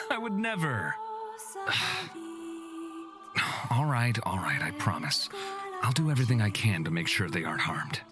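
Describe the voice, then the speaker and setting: A sly, teasing young man from a fantasy game, played by an actor, with hugely animated pitch. Clear music runs under his voice. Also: measured pace, long pauses. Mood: playful.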